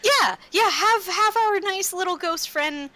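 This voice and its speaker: A woman's voice: extremely high pitch, unhurried, sharp tone, voice pushed up, full character voice. A woman voicing an eager, agreeable aunt in a tabletop roleplaying game.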